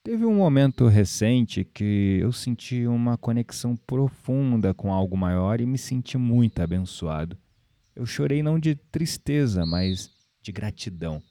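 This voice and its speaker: An actor playing a gentle, earnest spiritual confidant with a Brazilian accent in an audio drama. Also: male voice, medium pitch, animated, measured pace, no room sound. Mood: moved, reflective.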